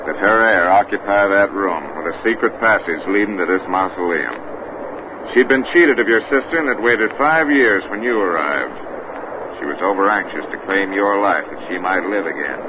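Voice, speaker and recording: A man's voice: low-pitched, moderate pace, natural voice. A man playing a laconic, folksy investigator with a drawl, in 1930s radio horror style. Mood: grave, steady. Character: steady, laconic investigator and champion pistol shot, awkwardly lovestruck. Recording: noisy, muffled old radio recording.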